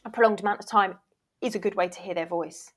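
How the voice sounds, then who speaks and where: A woman with a medium-pitched voice chats as an expert guest. She is candid and animated, leaning on key words for emphasis. Her explanations are informal and loosely structured, with self-interruptions. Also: quick pace. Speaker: voice reel producer, interview guest. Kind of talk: casual, chatty explanation.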